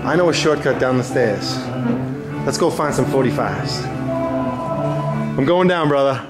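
A man casually narrating a handheld walking tour of a big record store, talking excitedly to his viewers. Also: mid-range voice, takes it slowly. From New York. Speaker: vlogger touring record stores. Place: large indoor record store. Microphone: handheld camera mic. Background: store music playing.

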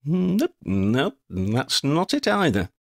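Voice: medium pitch, sing-song, slow pace, creaky, dry recording, studio quality, pitched above the performer's own voice, full character voice. A man voicing a gangly, muddle-headed wandering vagabond in a storybook, with quaint old-fashioned phrasing and a rambling, easily distracted manner. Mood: musing.

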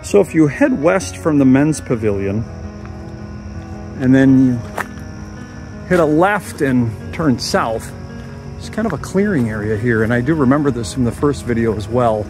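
A man narrating a casual walking tour outdoors into his handheld camera, musing and reflective, with pauses. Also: mid-range voice, animated, over music. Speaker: vlog host, walking tour guide. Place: outdoors, walking a wooded path at dusk. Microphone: handheld camera held by the speaker.

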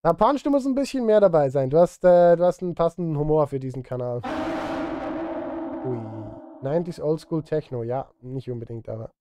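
A man's voice: a little high, lively intonation, brisk, dark tone, clear, resonant tone, no room sound. A man in his thirties chatting casually to livestream viewers while he works, self-deprecating and direct. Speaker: music streamer and composer. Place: indoor home studio.

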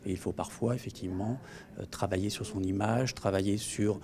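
Official, man, 30s, very deep voice, measured and hesitant, interviewed in a busy office meeting room. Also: fairly even intonation, crowd noise. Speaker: employment agency official. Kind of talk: interview for a news report.

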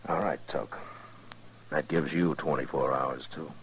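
Deep-voiced steady frontier marshal. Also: male voice, measured pace, slight background noise, rough recording. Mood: firm, resigned. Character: steady, weary frontier town marshal, plain-spoken man. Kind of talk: 1950s radio western acting. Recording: 1950s radio drama recording.

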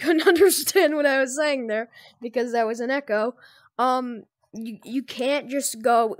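A speaker giving a casual, off-the-cuff software tutorial in a loose, rambling manner as host. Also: medium pitch, no room sound. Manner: rambling, loosely strung instructions.

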